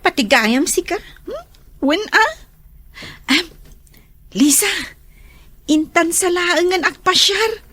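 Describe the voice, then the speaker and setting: A woman's voice: very high-pitched, fairly bright tone, heavily acted. A woman playing a lazy, whining young student in a radio drama. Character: lazy, whining young female student who skips studying.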